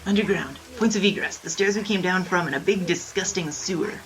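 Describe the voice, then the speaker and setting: A woman's voice: fairly low pitch, brisk, lightly acted, rough recording. A woman voicing a brash, wisecracking 1920s bandit who talks in tough-guy slang, in an audio drama. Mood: scheming.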